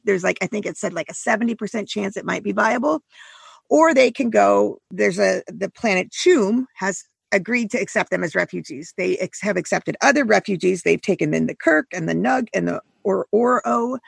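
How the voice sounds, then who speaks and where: A woman in her forties talking animatedly about a book in a casual discussion, with quick asides. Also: medium pitch, gravelly, a bit bright, slightly rough, dry recording, clean studio sound. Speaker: book podcast co-host.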